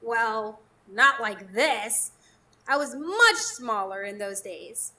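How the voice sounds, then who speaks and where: A woman's voice: on the high side, expressive, takes it slowly, pushed and bright, full character voice. A woman playing a cheeky, muddled, childlike fairy beggar in a live radio drama, with playful theatrical delivery. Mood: wistful.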